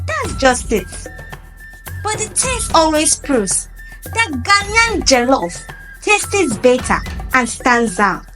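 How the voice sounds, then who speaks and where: A woman's voice: sits high, sing-song, slow, music in the background, heavily acted. A woman storyteller voicing a proud, boastful Ghanaian male chef who taunts his rival in a folktale. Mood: boastful, taunting.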